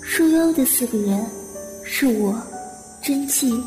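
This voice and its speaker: A woman playing an earnest, anxious young woman in an audio drama, with soft, reflective delivery. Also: high-pitched, lively intonation, slow pace, long reverb tail, faint music behind. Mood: sombre, reflective. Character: determined, anxious young woman recounting her childhood trauma.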